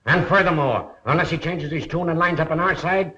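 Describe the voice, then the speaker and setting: A man's voice: a little high, fairly quick, pushed and bright. A man playing a stern, vindictive police commissioner with a blustering, sarcastic manner, in 1930s film acting. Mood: stern, threatening. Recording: muffled 1930s film soundtrack.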